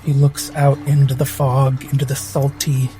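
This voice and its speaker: A man improvising narration of his character in a tabletop game, in halting, measured phrases. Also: mid-range voice, completely flat delivery, dark tone. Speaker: tabletop roleplay player. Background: background music.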